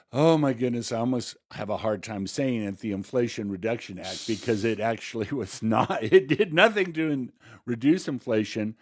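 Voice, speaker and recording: A man's voice: fairly low pitch, no room sound, clean studio sound. An American man co-hosting a podcast with casual, conversational political commentary.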